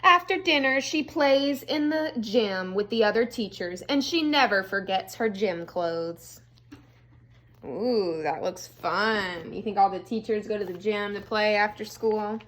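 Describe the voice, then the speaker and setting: A woman, an elementary school teacher, reading a storybook aloud to young children with expressive delivery and casual, chatty asides. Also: mid-range voice, a little slow.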